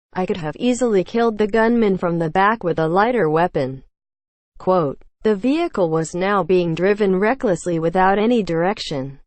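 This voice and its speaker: A woman reading a scripted news feature aloud in a measured, formal way. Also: medium pitch, darkish tone, no room sound. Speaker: news article reader.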